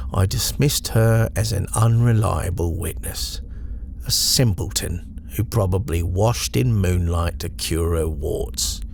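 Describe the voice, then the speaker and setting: A British man reading a first-person horror story in a measured, reflective way, as a storyteller. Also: deep voice, creaky, a little mellow, a little hiss or hum.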